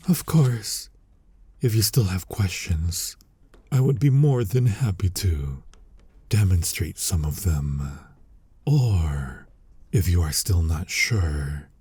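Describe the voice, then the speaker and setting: A man playing a flirty, dominant incubus demon in an intimate ASMR roleplay, teasing the listener in a husky, breathy voice that often drops to a whisper. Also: low-pitched, mellow, no room sound, full character voice. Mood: sultry, inviting. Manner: slow, sultry, teasing, addressing listener directly. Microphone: close mic, dry.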